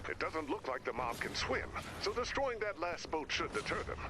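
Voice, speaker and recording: A man playing an earnest, anxious, brainy young helper in a video game, with a very expressive delivery. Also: on the high side, normal speed, background noise. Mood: cautious, wry. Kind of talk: video game character voice acting. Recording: loud music behind the voice.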